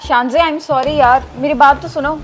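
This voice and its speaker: Actress as a high-pitched, emotional young wife. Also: female voice, fairly even intonation, moderate pace, lightly acted. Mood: upset, angry. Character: emotional, impulsive young wife, defensive and easily swayed. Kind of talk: acted moral-story drama dialogue.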